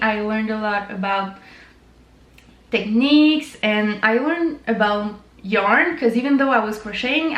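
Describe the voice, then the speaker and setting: A woman's voice: fairly high pitch, roomy sound. A woman with a French Canadian accent, hosting her own knitting channel in a chatty, informal way with lots of fillers. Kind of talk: casual chat to camera.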